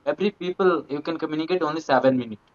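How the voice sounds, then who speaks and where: A man from India speaks over a video call. His audio comes through dull and lo-fi. He talks in halting bursts with loose grammar, eager to make his point. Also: a little high. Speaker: English practice partner. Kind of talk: casual chat in English practice.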